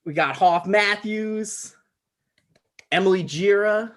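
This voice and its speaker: A man casually introducing a live online table read as its host, halting and sincere as he goes. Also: high voice, fairly bright tone. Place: video call.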